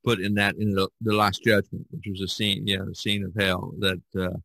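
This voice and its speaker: A man in a casual podcast discussion about art, telling stories in a halting, repetitive way. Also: deep voice, flat delivery, creaky, pushed and bright, no echo, low-quality recording. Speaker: podcast co-host.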